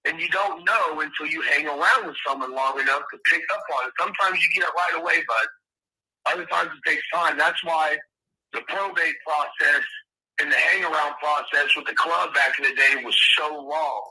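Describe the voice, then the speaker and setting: A man's voice: fairly high pitch, normal speed, pushed and bright. A man talking casually on a recorded phone call, blunt and coarse-spoken. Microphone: telephone.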